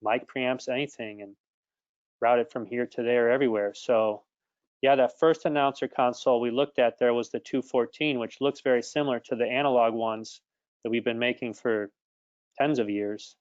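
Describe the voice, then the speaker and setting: Smooth, even-toned man explaining gear. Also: medium pitch, normal speed, no echo. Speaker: guest product expert from an audio equipment maker. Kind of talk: explanatory tech talk. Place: online video call. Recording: dull, lo-fi online call audio.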